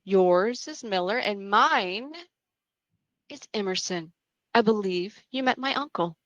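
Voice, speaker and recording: Woman doing a crazy taunting killer girl for a horror read, slow and theatrical, very dry sound. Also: medium pitch, expressive, no room sound, dull audio. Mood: smug, menacing. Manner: mocking, drawn-out, punctuated with laughter. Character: mad, gleeful young female killer, taunting and theatrical. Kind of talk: dramatic table read of a horror screenplay.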